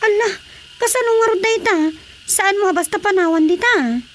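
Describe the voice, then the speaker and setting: A woman's voice: very high-pitched, moderate pace, a bit bright, a little hiss or hum. A woman playing a skeptical, questioning village woman with an Ilocano accent in a radio comedy-drama. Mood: questioning, dismayed.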